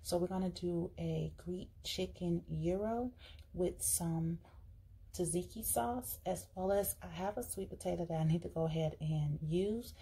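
A woman talks through her plans at an indoor table in a casual vlog, chatty and full of fillers and restarts. Her voice is very husky with a gravelly fry, mellow and dark. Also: fairly low pitch, unhurried. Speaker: vlogger. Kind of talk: casual vlog, planning meals while writing.

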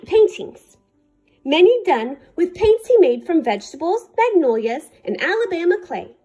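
A woman reading a children's picture book aloud as a librarian, in careful, deliberate short phrases. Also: very high-pitched, warm tone.

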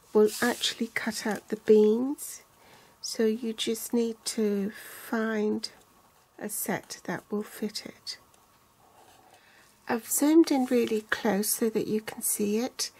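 An older woman calmly walking through a card-making tutorial step by step, reading out measurements in inches and centimeters. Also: medium pitch, gravelly, slightly rough. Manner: calm, measured, reads out sizes in inches and centimeters.

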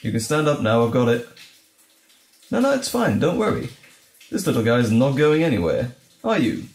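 A man acting as a tender boyfriend in an intimate audio roleplay, with a lively, swooping delivery and a crackly, creaky edge. He soothes and lightly teases his partner, and his voice rings in a roomy, echoing space. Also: medium pitch, normal speed. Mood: playful, reassuring. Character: gentle, caring boyfriend comforting frightened partner.

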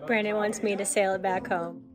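A woman's voice: medium pitch, fairly even intonation, brisk, over music. A young woman vlogging casually to a phone at arm's length, bubbly and laughing nervously as she talks. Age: young adult. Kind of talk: casual vlog chat to camera. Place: outdoors on a lake, on a small sailboat.

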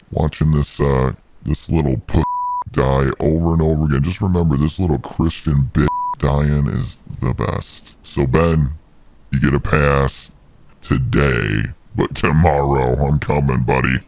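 A man voicing a gloating, mocking male devil who calls himself the Dark Lord, with a very deep gravelly voice. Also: steady tone, no echo. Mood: menacing. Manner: taunting, drawn-out menacing delivery. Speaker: recurring comic co-host.

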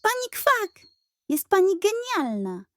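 A woman voicing an earnest, excitable young male pig detective in a children's story. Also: very high-pitched, slow, no room sound, voice pushed up, heavily acted. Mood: excited. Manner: hesitant sighs turning to eager exclamations.

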